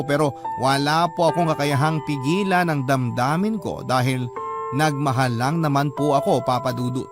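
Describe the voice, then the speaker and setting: A man's voice: mid-range voice, lightly acted. An actor narrating as a lovelorn man confessing a forbidden love in an earnest, respectful letter for radio drama. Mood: hopeful, yearning.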